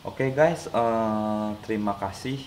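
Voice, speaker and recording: A man's voice: lowish voice, slow, darkish tone. A young man chatting casually through an unboxing, his voice nasal and stuffy from a cold, rambling with many fillers. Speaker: unboxing vlogger. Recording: echoey room with clear background noise.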